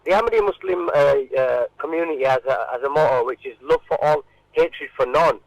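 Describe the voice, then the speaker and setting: A man answering informally in a radio interview, halting and often repeating his words. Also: medium pitch, very animated, creaky, dry recording, low-quality recording.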